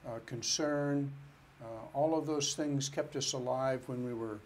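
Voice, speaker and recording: A man's voice: mid-range voice, steady tone, a little slow, a little mellow, a little hiss or hum. A man who is a financial planner, answering interview questions in an earnest, step-by-step way. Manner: earnest, explains step by step with restarts.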